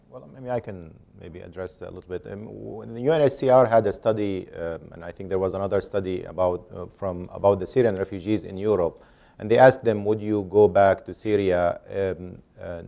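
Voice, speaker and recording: A man on a panel answering audience questions, speaking haltingly with many fillers and restarts. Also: deep voice, fairly even intonation, gravelly, warm tone.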